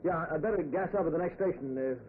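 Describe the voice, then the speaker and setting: Nervous actor as a driver, highish voice, old radio. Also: male voice, phone-quality sound, lightly acted. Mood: forced casual. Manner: halting, stammering when nervous. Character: nervous husband-type driver trying to stay calm. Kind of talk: old-time radio drama acting. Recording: noisy, thin old radio recording.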